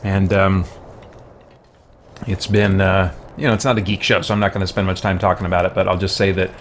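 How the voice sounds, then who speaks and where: A man's voice: low voice, level tone, brisk, slight background noise. A man chatting casually as he livestreams a video game, speaking in a thoughtful, conversational way with hesitant phrasing. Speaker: live streamer playing a video game.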